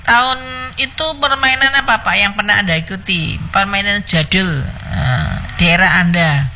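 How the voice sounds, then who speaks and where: A woman's voice: medium pitch, normal speed, sharp tone. A middle-aged woman, hosting a casual, chatty radio call-in show. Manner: chatty, laughs often. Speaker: radio host.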